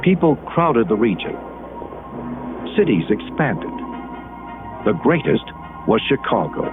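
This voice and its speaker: A man with an American accent narrating an educational documentary in measured, deliberate phrases with dramatic pauses. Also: medium pitch, very animated. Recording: muffled band-limited 1980s film soundtrack. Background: background music.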